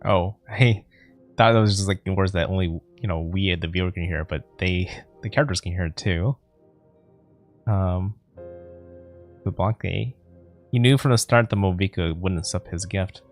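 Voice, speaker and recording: Low voiced guy streaming a game, casual commentary, music in the back. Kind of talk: casual let's play commentary.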